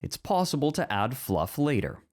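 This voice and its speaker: A man acting in an ASMR roleplay as a strict, orderly academic teacher who is easily flustered, speaking in formal, measured lectures. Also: medium pitch, big pitch swings, natural voice. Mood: flustered, defensive.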